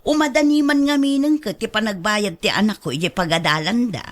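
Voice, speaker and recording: A woman's voice: medium pitch, a little fast, natural voice. A woman playing a boastful, condescending village mother in a radio drama, with a haughty, showy manner. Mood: smug.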